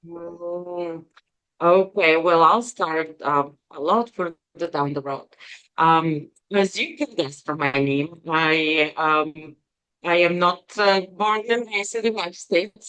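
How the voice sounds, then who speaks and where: Slow, low-voiced woman with Bulgarian accent. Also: a bit bright, smooth, low-quality recording. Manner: hesitant, many pauses and fillers. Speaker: guest. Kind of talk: interview conversation.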